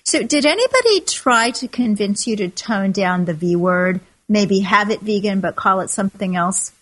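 A woman's voice: medium pitch, lively intonation, normal speed, a bit bright, low-quality recording. A woman interviewing a guest, friendly and encouraging, laughing often.

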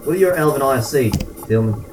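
A man's voice: mid-range voice, animated, a little fast, lightly acted. Casting: male actor as businesslike, wary dive-team boss for radio drama; distant, noisy recording with ambience. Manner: commanding, businesslike. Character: wary male leader of a deep-sea diving team. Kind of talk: 1930s-40s style radio drama acting.

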